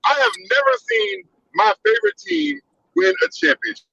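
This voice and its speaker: A man's voice: extremely high pitch, sing-song, normal speed, gravelly, pushed and bright, muffled recording. A man in his thirties in an impassioned fan rant, emphatic and heated, hammering repeated phrases. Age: 30s. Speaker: podcast panelist.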